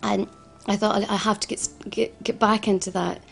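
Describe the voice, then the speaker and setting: A woman with a Glasgow accent tells her own story in an interview, earnest and halting, stumbling over and repeating her words. Her voice is mid-pitched and held level, with little rise and fall. Soft music plays faintly underneath. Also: flat delivery, gravelly. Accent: Scottish, Glasgow. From Scotland. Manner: earnest, frequent restarts and repetitions. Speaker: founder of a financial advice service. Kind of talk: personal interview testimony.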